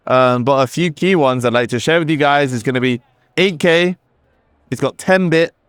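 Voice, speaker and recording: Smooth-voiced man demoing gear. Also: mid-range voice, moderate pace, a bit bright, no room sound, studio quality. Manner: enthusiastic, conversational, with fillers. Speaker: company representative demoing a camera. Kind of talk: casual product demo on a trade show floor. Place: busy trade show floor.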